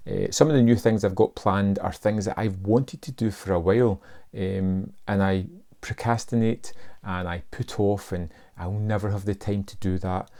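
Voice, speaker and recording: Low gravelly man musing to camera. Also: steady tone, normal speed, warm tone. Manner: reflective, hesitant with fillers and restarts. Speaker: film review channel host. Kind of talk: casual talk to camera.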